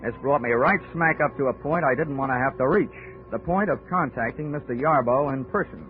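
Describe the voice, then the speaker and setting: An actor playing a cocky, wisecracking insurance investigator who narrates wryly in a 1940s radio drama. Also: male voice, medium pitch, brisk, natural voice. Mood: narrating. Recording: tinny old 1940s radio recording with music.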